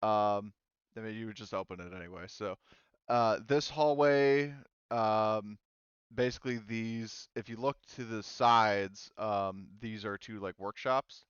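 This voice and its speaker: A man speaking casually and haltingly as game master of a tabletop roleplaying game, informal and sweary. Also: fairly low pitch, clean tone, no room sound, dull audio. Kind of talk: casual improvised game narration and table chat.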